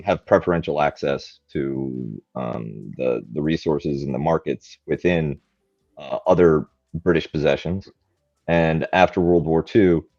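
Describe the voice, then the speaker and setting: A man who grew up in Canada, talking in a podcast conversation in a halting way, with many ums and restarts. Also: very deep voice, mellow, no room sound. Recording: dry, muffled lo-fi mic.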